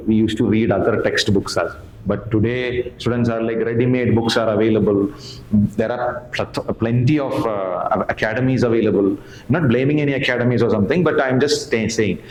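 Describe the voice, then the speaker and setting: A man's voice: mid-range voice, a little mellow. A middle-aged Indian-accented man giving an informal exam-analysis lecture as a history teacher, emphatically repeating phrases and asking questions he answers himself. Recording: roomy echo, clear background noise.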